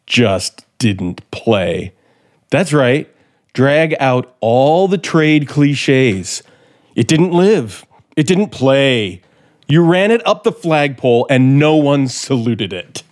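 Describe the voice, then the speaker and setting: An American man acting a bitter, sardonic, frustrated scriptwriter in a 1950s radio drama, deliberate and intense. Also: mid-range voice, sing-song, darkish tone. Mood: bitter, indignant. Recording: vintage 1950s radio recording.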